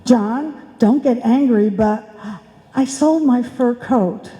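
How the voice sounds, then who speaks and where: A woman's voice: on the high side, takes it slowly, mellow. A woman playing a devoted, self-sacrificing wife in a live old-time radio comedy, earnest and sweetly sentimental. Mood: confessing. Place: indoor hall stage, live performance. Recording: dull sound in a reverberant hall.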